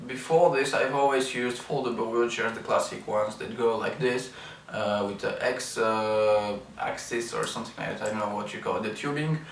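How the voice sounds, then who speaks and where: Halting man speaking in an echoey room. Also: medium pitch, a bit bright. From Bulgaria. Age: 30s. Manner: many ums and uhs, unhurried and halting. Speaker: vlogger reviewing his wheelchair. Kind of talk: casual first-impressions review. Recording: echoey room, mic at a distance, faint hiss.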